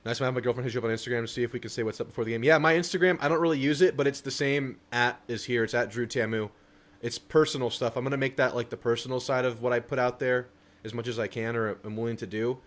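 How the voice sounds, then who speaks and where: A man's voice: mid-range voice, steady tone, fast, a bit bright. Casual young man hosting a livestream. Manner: casual, reads viewer chats aloud and answers them. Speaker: livestream host. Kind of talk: casual live stream chat.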